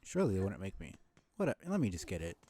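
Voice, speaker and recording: A man's voice: low-pitched, big pitch swings, racing, warm tone. A man streaming a video game with casual, sweary commentary. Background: faint game music.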